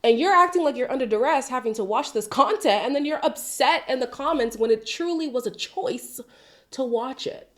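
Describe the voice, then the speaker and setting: A woman's voice: sits high, normal speed. Chatty Zimbabwean woman, voice sick. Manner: chatty and expressive, with side tangents. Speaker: commentary channel host. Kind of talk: casual pop-culture commentary.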